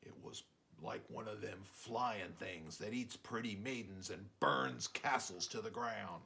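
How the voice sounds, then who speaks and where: A man's voice: lowish voice, unhurried, gravelly, pushed and bright, heavily acted. A man voicing an agitated rustic rabbit father in a children's storybook, talking in folksy, ungrammatical country phrases. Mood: insistent.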